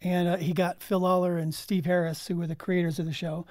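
A woman's voice: fairly low pitch, flat delivery, fairly quick, darkish tone, dry recording, clean studio sound. A woman talking as a guest in a casual panel discussion, explaining things conversationally. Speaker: guest from an audio drama team.

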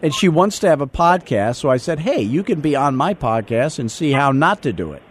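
A man's voice: a little high, big pitch swings, fairly quick. A man hosting a podcast, with brash, teasing banter. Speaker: podcast host. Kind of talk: joking radio-style chat.

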